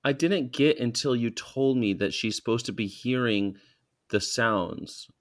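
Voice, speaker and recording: A man's voice: medium pitch, unhurried. A man chatting as a guest on a casual livestream, joking around playfully.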